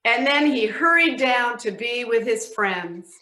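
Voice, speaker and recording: A woman's voice: on the high side, animated, unhurried, sharp tone. A woman narrating a children's storybook aloud. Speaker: reader in a readers theatre. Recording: echoey room.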